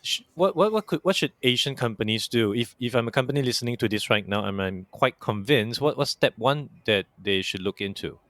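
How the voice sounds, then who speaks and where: A man's voice: mid-range voice, lively intonation, a little fast, hardly any pauses, fairly bright tone, no room sound. A man hosting a business podcast, asking curious, conversational questions with frequent stammered restarts. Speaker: podcast host. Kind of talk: interview questions.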